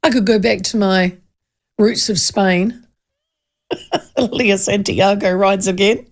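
A woman's voice: mid-range voice, fairly even intonation, slow. A woman with a New Zealand accent hosting a talkback radio show, chatting casually, reading listener texts aloud, laughing often and poking fun at herself. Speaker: talk radio host. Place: radio studio. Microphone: studio microphone.

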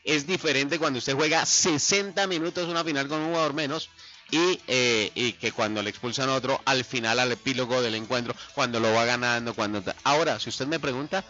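A man's voice: mid-range voice, a little fast, pushed and bright. A man hosting a sports radio segment, joking and quizzing his co-host. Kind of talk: lively sports radio banter. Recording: muffled lo-fi radio broadcast with faint music.

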